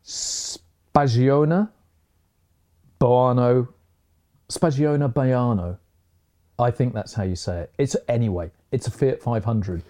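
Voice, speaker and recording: A mellow, warm-toned man chatting easily as a podcast host. He teases himself, laughs often and keeps the talk light. His voice sits in a middle pitch. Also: slow, pauses often. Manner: jokey, self-mocking, chuckles often. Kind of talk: casual chat.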